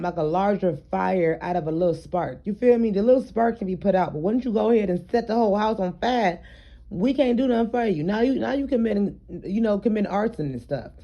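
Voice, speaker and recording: A woman with a raspy, slightly hoarse voice, chatting casually to her followers in a home vlog while she does her hair, loose and streetwise with plenty of fillers. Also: medium pitch, dark tone, muffled recording. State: hoarse, throat congested. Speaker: vlogger.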